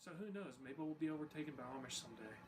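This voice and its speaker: A man's voice: fairly high pitch, racing. Easygoing man, distant mic, noisy. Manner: easygoing, self-deprecating, informal asides. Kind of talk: casual explanatory talk to camera. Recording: distant mic with steady background noise.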